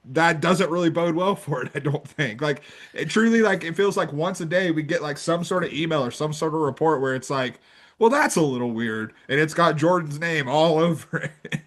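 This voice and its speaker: A man's voice: fairly high pitch, animated, moderate pace, a bit bright. A man co-hosting a sports podcast, giving casual commentary in a discursive, conversational way with plenty of qualifying. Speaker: podcast co-host.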